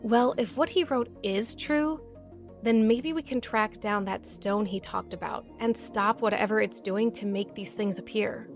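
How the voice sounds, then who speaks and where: A woman's voice: mid-range voice, unhurried, soft background music, close to an everyday voice. A woman acting a determined, open-minded survivor in an audio drama, earnest and persuasive.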